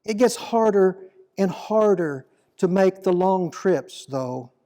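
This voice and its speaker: Man reading as old fisherman apostle, mellow, slow, plain-spoken, guilt-ridden, devoted, poetry monologue. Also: high voice, animated. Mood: resigned, devoted. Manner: slow, deliberate, reflective storytelling.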